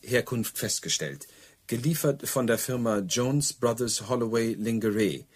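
A man's voice: mid-range voice, unhurried, close to an everyday voice. Man playing pedantic, deferential detective sergeant. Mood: precise, reporting. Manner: deferential, formal, precise. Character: dutiful, pedantic, literal-minded police detective sergeant. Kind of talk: German radio crime drama acting.

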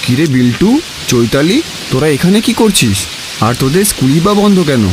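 A man's voice: mid-range voice, expressive, a little fast, noisy background, lightly acted. A man playing a caring, worried village farmer, husband and father in a Bengali cartoon story. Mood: hurried.

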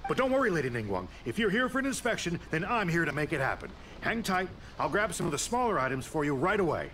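An actor voicing a nervous warehouse clerk in a video game, talking in a flustered, anxious, over-eager way, with music playing behind. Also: male voice, fairly high pitch, big pitch swings, quick pace, pushed and bright, slight background noise. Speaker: game character.

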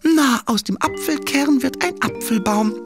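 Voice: sits high, unhurried, music in the background. An actor voicing a naive, stubborn and playful little boy king in a children's cartoon. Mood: eager, confident. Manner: playful, self-assured, naive.

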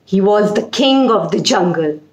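A woman in her forties with an Indian accent, telling a children's story with deliberate, emphatic repetition. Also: medium pitch, expressive. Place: small indoor room. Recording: roomy echo.

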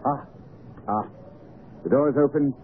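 A man's voice: mid-range voice, unhurried, natural voice. An actor playing a commanding, self-assured and incisive male detective in a radio drama. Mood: decisive. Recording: muffled old radio broadcast, faint noise.

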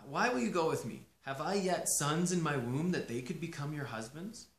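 A man's voice: a little high, lively intonation, normal speed, a bit bright. A man voicing a weary older widow who firmly urges her daughters-in-law to go back home. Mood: urging. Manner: pleading, motherly, firm. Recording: roomy echo.

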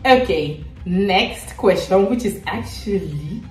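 A woman's voice: medium pitch, animated, takes it slowly. A woman in her thirties from Cameroon, a vlogger mother hosting a casual family quiz at home in a playful, teasing way. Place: indoor living room at home. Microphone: camera set back from the speaker. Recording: echoey room, distant camera, faint music.

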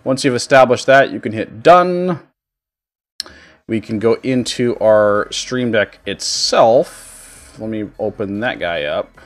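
A man giving a casual software tutorial as a streamer, explaining things informally step by step. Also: on the low side, expressive, takes it slowly. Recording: music behind the voice. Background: background music.

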